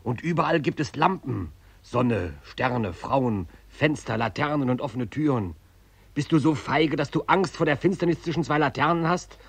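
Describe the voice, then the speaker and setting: An actor playing an optimistic inner companion in a radio drama, coaxing and urging with insistent persuasion. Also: male voice, medium pitch, expressive, moderate pace, gravelly, breathy edge. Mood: urging, encouraging. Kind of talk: German radio drama acting.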